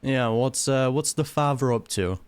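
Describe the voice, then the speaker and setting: A man's voice: medium pitch, normal speed, clear, resonant tone. Chatty man reacting to a video game. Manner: chatty, amused, answers the game aloud. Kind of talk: casual live gameplay commentary. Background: game music.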